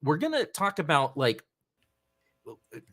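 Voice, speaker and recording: A man's voice: fairly high pitch, huge pitch swings, unhurried, a bit bright. A man hosting a casual live-stream chat show, rambling through thoughts and greeting viewers in the chat by name. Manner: rambling, chatty, reads out chat names. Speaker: podcast host.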